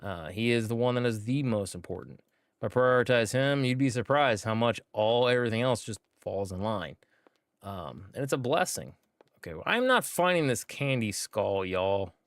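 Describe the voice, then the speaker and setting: A man's voice: mid-range voice, lively intonation, moderate pace, dry recording. Rambling man streaming a game. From United States. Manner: laid-back and rambling, with many fillers. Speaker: gaming streamer. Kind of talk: casual gameplay commentary.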